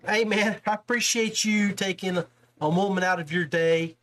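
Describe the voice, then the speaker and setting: A man's voice: sits high, fairly even intonation, normal speed, fairly bright tone. A man hosting a casual sports podcast interview, talking in a chummy, familiar way with guest and listeners.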